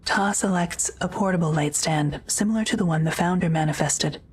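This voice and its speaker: A woman's voice: fairly low pitch, fairly quick, breathy edge. A woman reading scripted, present-tense narration for an audio drama.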